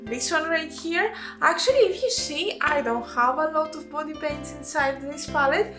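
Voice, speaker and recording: A Greek-accented woman explains a makeup routine step by step in a chatty, self-correcting manner. Her voice sits high, and the room around her is echoey. Faint music plays under her talk. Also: normal speed. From Greece. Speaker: makeup artist and channel host. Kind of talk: narrated makeup tutorial.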